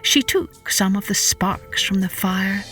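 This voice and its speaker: Female kids' storyteller, unhurried, expressive, dramatic scripted read, light music underneath. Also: mid-range voice. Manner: dramatic, deliberate, with repetition for effect. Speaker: children's podcast storyteller.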